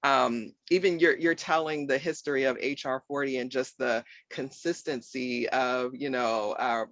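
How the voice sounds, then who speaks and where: A man with a bright, forward voice talks reflectively as a guest. His pace is measured and he often checks in with the listener. The sound is dry and close, as if over a video call. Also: mid-range voice, fairly even intonation, dry recording. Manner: thoughtful, measured, checking in with "right?". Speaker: guest from a nonprofit association. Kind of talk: reflective conversation.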